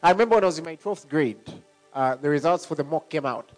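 High-voiced man preaching. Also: fast, soft background music. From Zambia. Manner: animated preaching, laughing often between points. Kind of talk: sermon.

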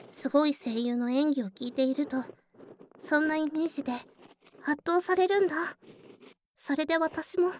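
High, lively, bashful young girl acted by a woman. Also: a little hiss or hum. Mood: passionate, dreamy. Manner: confiding, girlish, tsundere bashfulness. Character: earnest, bashful young childhood friend working as cafe waitress. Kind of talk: Japanese ASMR situation voice drama acting, intimate one-sided conversation.

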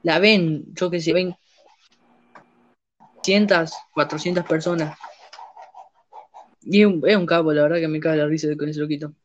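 A young man chats casually on a podcast in a fairly high voice. He rambles, breaks off and restarts his sentences, and often searches for names. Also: fairly even intonation, dull audio, slight background noise. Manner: rambling, halting, many restarts. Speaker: podcast host. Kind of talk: casual chat.

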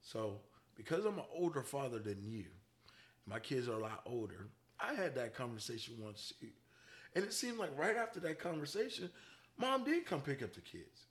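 A man in his forties co-hosting a casual podcast, talking in an easygoing, animated way with a slightly congested voice. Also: medium pitch, normal speed. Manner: animated, joking, breaks into laughter. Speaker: podcast co-host. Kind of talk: casual chat.

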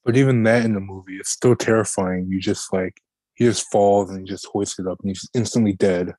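A man's voice: fairly low pitch, fairly even intonation, normal speed, warm tone, no echo. A man co-hosting a podcast and casually discussing books. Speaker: podcast co-host.

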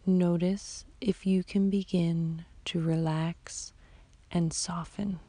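A woman guiding a sleep meditation as a yoga nidra teacher, in a soft, whispery, soothing voice with long, unhurried pauses. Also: lowish voice, little pitch movement, dry recording. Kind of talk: slow guided sleep meditation.

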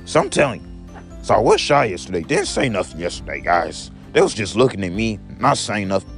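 A young man with a deep, gravelly voice talks straight to his viewers in a loose daily vlog. His pitch swings widely as he rambles, circling back to repeat each point, with faint music behind him. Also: very animated, brisk. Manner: repeats each statement in an if-you and to-me pattern, says guys often. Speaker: vlogger.

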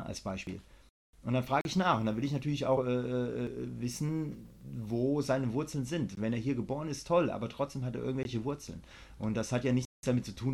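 A man's voice: medium pitch, creaky, a little mellow. A middle-aged man chatting casually on a podcast, rambling with many fillers and blunt, opinionated remarks.

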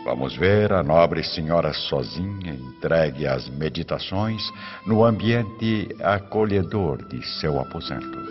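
A man narrating a scripted radio drama in a solemn, measured and expressive way. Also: very deep voice. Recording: dull, band-limited radio recording, music bed.

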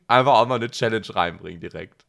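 A man's voice: lowish voice, moderate pace, creaky, a bit bright. A man chatting casually as a podcast co-host, self-ironic and joking, given to playful exaggeration. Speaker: podcast co-host, social worker and workshop leader. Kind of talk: casual conversational podcast chat.

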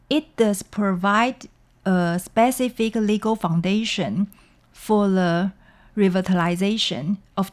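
Female researcher from Taiwan, deliberate and structured, average pitch. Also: darkish tone. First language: Mandarin. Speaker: language researcher. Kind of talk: interview answers.

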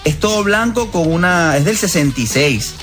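A man's voice: a little high, expressive, normal speed, music in the background. A man, a radio co-host describing things casually and a little hesitantly.

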